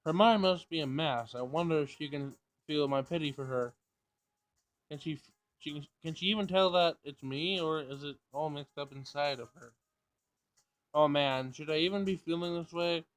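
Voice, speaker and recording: A man's voice: on the high side, moderate pace. A man casually reading a visual novel aloud, narration and dialogue, sometimes stumbling over the words.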